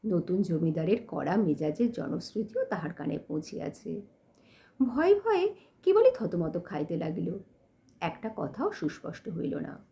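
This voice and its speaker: A woman reading a literary story as an audiobook narrator, in an expressive, dramatic manner. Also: on the low side, measured pace, vocal fry.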